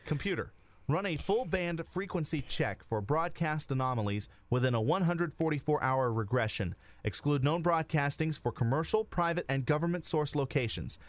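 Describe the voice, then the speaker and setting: A man's voice: fairly high pitch, animated, moderate pace, natural voice. A man playing a stern, preachy investigator in a sci-fi audio drama, speaking in a formal, commanding way. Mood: focused. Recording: music behind the dialogue.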